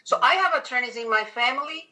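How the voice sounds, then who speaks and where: A woman's voice: high-pitched, expressive, fast, sharp tone. A middle-aged woman with a Venezuelan accent, a native Spanish speaker, chatting emphatically as an interview guest. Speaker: podcast guest. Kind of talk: conversational personal storytelling in an interview.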